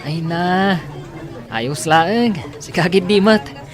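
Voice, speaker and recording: A man's voice: high-pitched, slow, crowd noise. A man playing a caring, easygoing young cousin and older brother in an Ilocano radio drama. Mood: sheepish.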